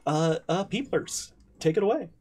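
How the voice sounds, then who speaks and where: A man's voice: high-pitched, lively intonation, normal speed, darkish tone. Man, game master host, stammers and restarts, joking, casual. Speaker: game master hosting a charity stream. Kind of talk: casual chat, introducing players.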